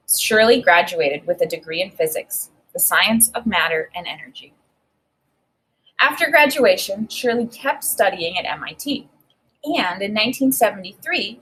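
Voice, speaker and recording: Husky woman reading aloud to a class. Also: fairly high pitch, low-quality recording. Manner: reads with expressive emphasis, pauses to think aloud. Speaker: teacher. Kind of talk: read-aloud lesson with think-aloud.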